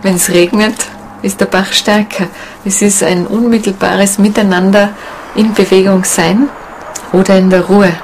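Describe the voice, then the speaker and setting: A woman speaking informally in an interview, reflective, with halting phrasing. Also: medium pitch, flat delivery, slight background noise, poor audio. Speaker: shamanic seminar leader, interviewee.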